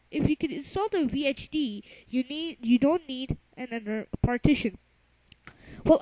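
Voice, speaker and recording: A high-pitched voice presents an informal software tutorial. The delivery is halting, with stumbles and self-corrections as the presenter explains each step. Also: low-quality recording. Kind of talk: informal narrated software lesson.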